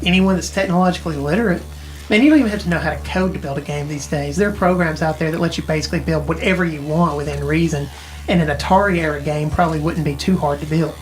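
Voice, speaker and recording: A woman's voice: low voice, fast talker, lightly acted, poor audio. An actress playing a tech-savvy woman who explains things informally and hedges as she goes, in naturalistic audio drama dialogue. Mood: explanatory, intrigued. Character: tech-savvy, casual woman who knows retro arcade games. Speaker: character in a mystery audio drama.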